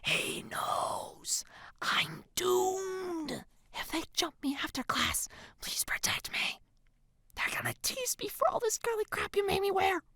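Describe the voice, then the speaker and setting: A woman's voice: mid-range voice, wildly swooping pitch, normal speed, dry recording, studio quality, voice pushed up. A woman voicing a frantic, melodramatic teenage cheerleader who blurts and exclaims indignantly in an audiobook-style story. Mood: panicked whisper.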